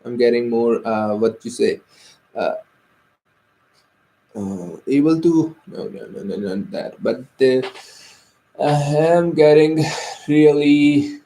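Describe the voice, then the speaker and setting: A man's voice: medium pitch, lively intonation, takes it slowly, pauses often, dark tone, rough recording. A young man with an Indian accent, a native Hindi speaker, talking hesitantly in a personal vlog with many fillers and restarts. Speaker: vlogger.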